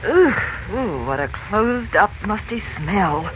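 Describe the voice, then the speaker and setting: An actress playing an impatient, anxious grown sister in a 1940s-style radio adventure serial, brisk and fretful. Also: female voice, medium pitch, very animated. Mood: uneasy, questioning. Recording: dull lo-fi old radio, faint noise.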